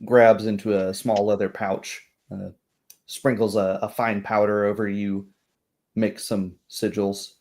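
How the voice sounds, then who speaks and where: A man acting as game master, improvising narration for a tabletop roleplay in hesitant, pausing phrases. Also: on the low side, flat delivery, darkish tone.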